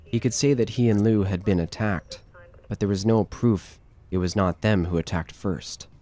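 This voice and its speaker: A man's voice: on the low side, normal speed, warm tone. A man reading scripted narration for a horror audio drama.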